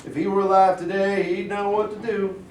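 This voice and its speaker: A man's voice: sits high, flat delivery, moderate pace, natural voice. A man in a staged reading playing an agitated, folksy segregationist state official who sounds alarmist and emphatic. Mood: deferential. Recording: roomy, distant mic, faint noise.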